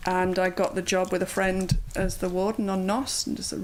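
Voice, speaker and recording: A woman in her fifties with a soft Yorkshire accent, chatting as an interview guest, with plenty of ums and uhs. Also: lowish voice, little pitch movement, moderate pace, a little hiss or hum. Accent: mild Yorkshire. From Yorkshire, England. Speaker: guest, yoga teacher. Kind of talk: casual interview chat.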